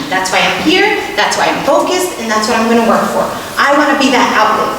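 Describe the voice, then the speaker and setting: A woman's voice: a little high, a bit bright. A woman in her thirties whose first language is Portuguese, giving a passionate, emphatic school board candidate speech. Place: large hall at a debate. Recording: echoey hall from far off, noisy.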